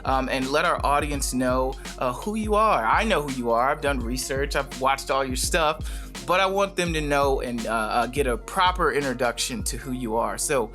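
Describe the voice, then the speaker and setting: A man's voice: mid-range voice, normal speed, pushed and bright, soft background music. A man hosting a podcast, introducing the show and his guest in an upbeat way with many ums and uhs. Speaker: podcast host.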